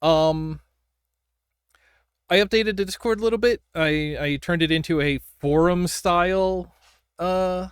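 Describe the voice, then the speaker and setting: A man's voice: high voice, a little slow, long pauses, clean tone, no room sound, studio quality. A man hosting a livestream in casual gaming chat, thinking aloud and reacting to play as he goes. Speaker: livestream host.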